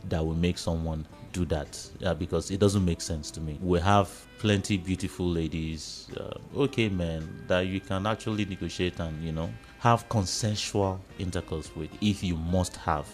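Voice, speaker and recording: A man with a Nigerian accent speaking as a panellist in a radio discussion, explaining his views in a reflective, halting way. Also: sits low, steady tone, faint music behind.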